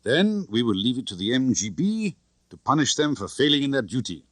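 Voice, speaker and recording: A male narrator puts on a big, tough spy chief for an audiobook, cheerful and sure of himself. He talks expansively and theatrically, with pitch that swings widely, recorded close and dry. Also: sing-song, a little fast, fairly bright tone, no room sound. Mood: confident, scornful.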